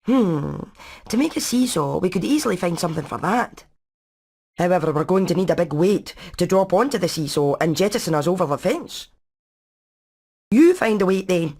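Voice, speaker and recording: A woman's voice: on the low side, expressive, moderate pace, darkish tone. A woman voicing a brainy, practical hen engineer in a video game, with a Scottish accent and a technical, matter-of-fact way of talking. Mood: thoughtful. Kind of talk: animated video game acting.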